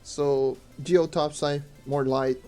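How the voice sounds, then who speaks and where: A young man streaming a game and answering questions in loose, rambling casual talk, with a hoarse voice he says he is losing. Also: fairly high pitch, fairly even intonation, takes it slowly, a little mellow. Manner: rambling, loose with fillers, mutters to himself. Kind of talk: casual gameplay commentary and Q&A. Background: faint music.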